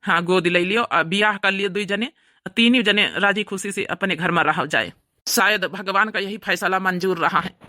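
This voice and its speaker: A man's voice: sits high, normal speed, pushed and bright, dry recording, studio quality. A man voicing an earnest, emotional, self-sacrificing brother in a homemade cartoon family drama, with an Awadhi accent. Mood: moved, resolute.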